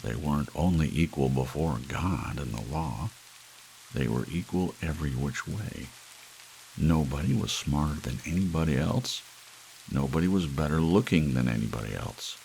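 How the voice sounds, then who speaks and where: A man narrating a scripted story as an ASMR audiobook, with soft rain behind him. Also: a bass voice, gravelly, poor audio. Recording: faint rain hiss throughout.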